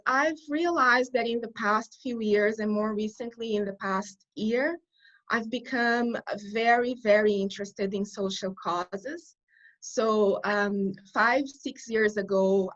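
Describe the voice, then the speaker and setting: A Brazilian woman whose first language is Portuguese answers interview questions in accented English. Her pitch sits in the middle of the usual range, and she speaks earnestly, often restarting a phrase as she searches for words. The sound is dull and lo-fi, like a video call. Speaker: guest. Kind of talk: conversational interview.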